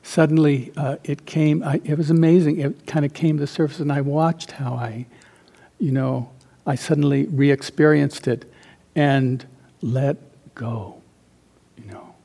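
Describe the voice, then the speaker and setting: An older man who grew up in Central America, a meditation teacher giving an informal dharma talk, telling personal stories in a halting, reflective way that trails off softly. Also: on the high side, fairly even intonation, vocal fry.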